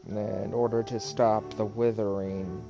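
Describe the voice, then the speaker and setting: Creaky-voiced man streaming a game. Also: lowish voice, little pitch movement, unhurried. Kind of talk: casual live game stream commentary, reading dialogue options aloud. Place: live stream over game audio. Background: game music.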